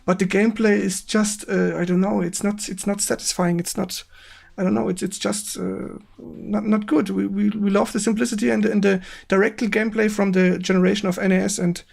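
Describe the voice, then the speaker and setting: Creaky-voiced German man hosting a stream. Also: sits high, little pitch movement, darkish tone. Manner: halting, repetitive, searching for English words. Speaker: stream host. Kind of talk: casual interview on a game stream.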